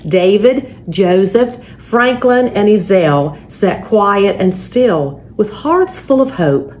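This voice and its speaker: Unhurried woman reading aloud. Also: mid-range voice. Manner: measured, deliberate, expressive phrasing. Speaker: church story time host and reader. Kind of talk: children's picture book read-aloud with spoken introduction. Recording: faint background noise.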